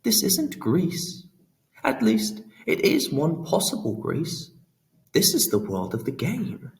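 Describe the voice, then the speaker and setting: A man's voice: fairly high pitch, wildly swooping pitch, slow pace, recorded from a distance, pitched above the performer's own voice, full character voice. Man playing a sly tipsy trickster god. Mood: teasing. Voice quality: playful, theatrical, prattling. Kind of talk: audiobook character voice.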